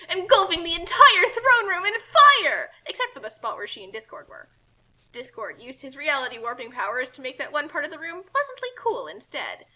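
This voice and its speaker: A woman reading a story aloud in a theatrical, animated way and chatting casually about it as commentator. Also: high voice, poor audio. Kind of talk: dramatic fan fiction reading with casual commentary.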